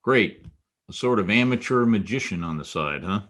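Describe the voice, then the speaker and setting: An actor playing a wisecracking, world-weary private detective in a noir radio drama, wry and sardonic. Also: male voice, on the low side, expressive, normal speed, fairly bright tone. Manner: wry, sardonic, clipped tough talk. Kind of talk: old-time radio noir acting and first-person narration.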